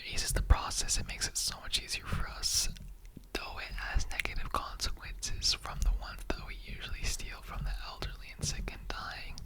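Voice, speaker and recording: A performer acts out an immortal skeleton interrogator in an ASMR roleplay. The voice stays in a whisper throughout. The delivery is earnest and conversational, rambling through halting asides and repetitions. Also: close to an everyday voice, poor audio. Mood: weary, confiding. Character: chatty immortal skeleton interrogator, earnestly recruiting bone donors.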